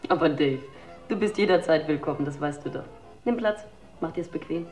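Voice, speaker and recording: A woman's voice: sits low, brisk, darkish tone, lightly acted. An actress playing a harried, firm young widowed mother in a radio crime drama, polite but guarded. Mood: welcoming. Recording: roomy radio drama recording, faint music.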